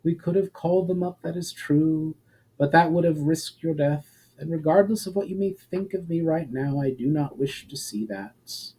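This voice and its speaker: A man voicing a calm, regretful elf woman with a formal, measured way of speaking in a fantasy story reading. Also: on the high side, breathy edge, voice pushed up, low-quality recording.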